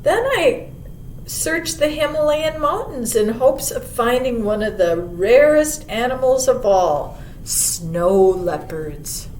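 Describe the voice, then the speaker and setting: A woman voicing a gentle, wise old male monarch butterfly in a storybook, telling a child of travels with unhurried wonder. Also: expressive. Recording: roomy, distant mic, faint rumbling noise.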